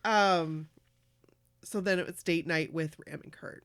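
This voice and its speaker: A woman co-hosting a casual podcast, chatty and animated, who is losing her voice. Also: on the low side, measured pace, clean tone, dry recording, studio quality. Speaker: podcast co-host. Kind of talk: casual chat.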